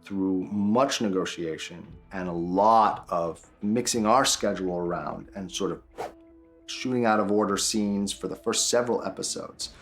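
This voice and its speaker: A man's voice: deep voice, unhurried, soft background music. A man, a producer of the show, talking easily and full of praise in an interview. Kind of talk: featurette interview.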